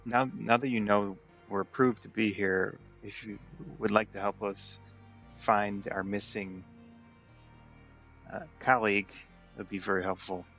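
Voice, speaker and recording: A man playing a tabletop role-playing game, casually improvising in a measured, deadpan way. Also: on the low side, faint music behind, low-quality recording.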